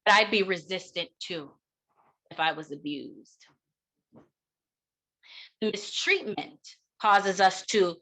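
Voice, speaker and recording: Bright, forceful woman giving a keynote. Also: medium pitch, poor audio. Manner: deliberate, emphatic, dramatic pauses. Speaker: keynote speaker, counseling clinician. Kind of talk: keynote speech.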